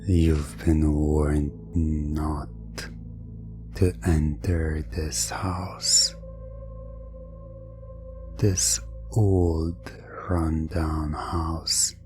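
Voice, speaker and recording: A man narrating a scripted hypnosis roleplay in an ASMR style, telling the story with drawn-out pauses in a breathy voice that often drops toward a whisper. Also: sits low. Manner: slow, drawn-out second-person storytelling with frequent pauses. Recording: music under the voice. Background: background music.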